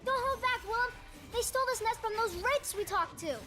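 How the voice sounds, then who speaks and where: Very high-pitched, imaginative little boy adventurer. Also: a little fast, sharp tone. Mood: earnest. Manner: earnest, whimsical, childlike storytelling. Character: imaginative, playful seven-year-old boy adventurer. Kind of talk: video game character voice lines. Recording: game clips with music behind.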